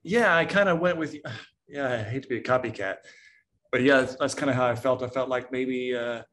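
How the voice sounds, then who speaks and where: A man's voice: mid-range voice, fairly quick, fairly bright tone. A man volunteering in an online class, talking casually and hesitantly, with a self-deprecating manner. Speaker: workshop volunteer. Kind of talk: casual, thinking aloud. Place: online video call.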